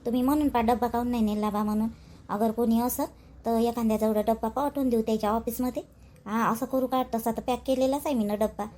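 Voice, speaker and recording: A woman's voice: a little high, steady tone, quick pace, warm tone, close to an everyday voice. A woman playing a dutiful young village wife in a cartoon, plaintive and rambling, with a Vidarbha Marathi accent. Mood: pleading. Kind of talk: homely cartoon drama dialogue.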